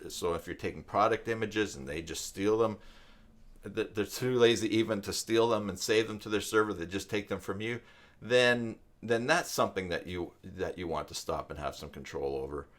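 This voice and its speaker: Low-pitched man in his fifties. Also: a bit bright. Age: 50s. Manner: rambling, halting, conversational, thinking aloud. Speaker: live stream host, web tutorial presenter. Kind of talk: casual live stream chat with viewers.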